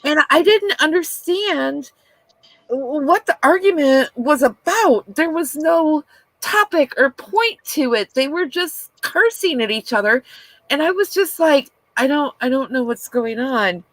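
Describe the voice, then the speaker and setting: A woman's voice: high-pitched, expressive, measured pace, no echo, rough recording. A woman in her fifties, chatting as a guest on a live stream in a bubbly, self-deprecating way. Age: 50s. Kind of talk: casual chat on a live stream.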